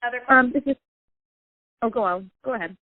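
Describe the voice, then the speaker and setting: A woman's voice: a little high, quick pace, a little hiss or hum. A woman reporter speaking conversationally as she asks questions on a press conference call. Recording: thin, tinny phone line.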